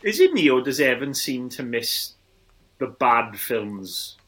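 A man in his forties banters as a podcast co-host. His voice is bright and rough-edged, with wide swings in pitch and a teasing way of talking. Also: big pitch swings, slow pace. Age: 40s. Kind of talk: casual banter.

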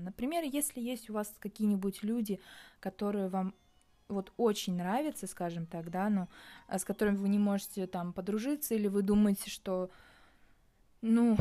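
Young woman, warm mellow tone, casual vlog talk, hesitant, thinking aloud. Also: medium pitch. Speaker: vlogger. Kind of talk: casual reflective monologue.

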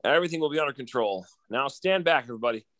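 A man's voice: mid-range voice, lively intonation, very fast, fairly bright tone, dry recording, lightly acted. Man as a cocky, self-important bumbling detective. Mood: breezy, self-important. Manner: breezy, pompous. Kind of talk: 1940s radio detective comedy acting.

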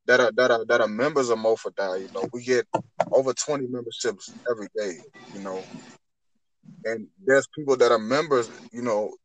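A man's voice: mid-range voice, a little fast, fairly bright tone, a little hiss or hum, low-quality recording. A man in his twenties speaking earnestly on spiritual matters in conversation, often repeating and rephrasing as he builds a point. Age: 20s.

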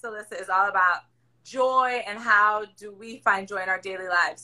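A woman hosting a podcast, with a raspy voice, talking loudly and emphatically in casual chat. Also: mid-range voice, low-quality recording. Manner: loud, emphatic, encouraging. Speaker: podcast host. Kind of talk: casual chat over a video call.

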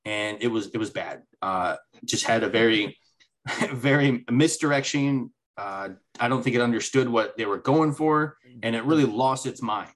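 Man, podcast co-host, casual chat, rambling, mid-pitched voice, restarts sentences. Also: normal speed, fairly bright tone, low-quality recording.

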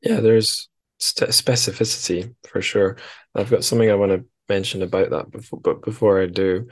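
A man's voice: fairly low pitch, steady tone, moderate pace. A man hosting a podcast, interviewing a guest in a casual, conversational way.

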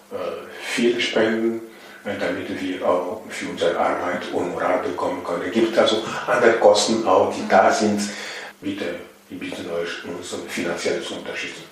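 A man's voice: low-pitched, fairly even intonation, normal speed. A man from Togo whose first languages are Ewe and Mina, speaking as an interview guest with frequent fillers and emphatic repetitions. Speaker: interview guest, initiative member. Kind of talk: conversational interview. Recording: far from mic, echoey room, faint noise.

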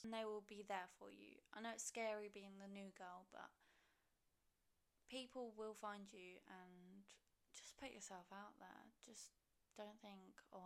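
A woman's voice: medium pitch, gravelly. A woman in her twenties from Poland, chatting candidly in a casual question-and-answer vlog, swearing freely and confiding in her viewers. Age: 20s. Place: her own home.

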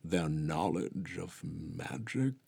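A man voicing an ancient female tortoise historian who speaks gravely in a storybook. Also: low voice, warm tone, no room sound, exaggerated voice. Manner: grave, slow.

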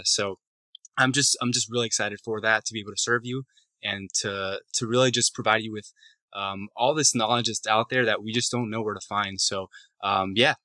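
Polite young guy from puerto rico cohosting, bright lowish voice. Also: no room sound. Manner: polite, earnest, frequent "uh". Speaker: podcast co-host, physical therapist. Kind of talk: casual podcast chat.

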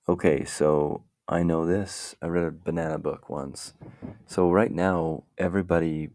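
A man with a very deep, gravelly voice hosts a casual talk, rambling slowly and thinking aloud as he goes. The sound is dry and close. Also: a little mellow, no room sound. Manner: meandering, muses aloud while browsing. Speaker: podcast host.

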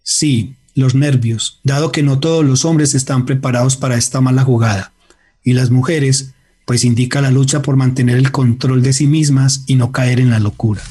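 Un hombre narra una radionovela con guion, de manera medida, literaria y dramática. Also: male voice, medium pitch, steady tone, dark tone, slightly rough. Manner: measured, literary, dramatic. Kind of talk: scripted radio drama narration.

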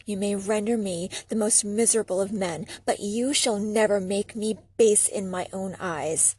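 A woman's voice: medium pitch, normal speed, darkish tone, breathy edge, natural voice. A woman voicing an anguished, defiant man in a gothic audiobook, vehement, formal and declamatory. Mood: furious defiance. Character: Victor Frankenstein, anguished, defiant, enraged male creator. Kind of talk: audiobook character reading.

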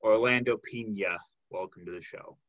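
A man's voice: on the low side, normal speed, fairly bright tone, lo-fi sound. A man hosting a casual interview, chatting in a friendly, informal way. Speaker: podcast host.

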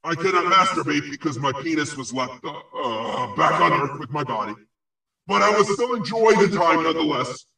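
A man playing the crude, spiteful ghost of a dead man, pompously handing out insults and prophecies in a halting comic delivery. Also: a little high, expressive, gravelly, sharp tone, heavily acted. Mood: grand. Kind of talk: improvised comic audio play. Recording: very echoey, distant-sounding voice.

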